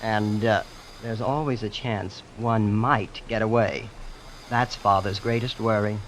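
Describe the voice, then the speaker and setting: A man's voice: lowish voice, fairly even intonation, a little slow, close to an everyday voice. A man playing a breezy rich heir, noisy old radio. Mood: smugly casual. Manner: nonchalant, understated boasting. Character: breezy, immensely rich young heir, casually ruthless. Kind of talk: old-time radio drama acting. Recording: old radio broadcast with clear noise.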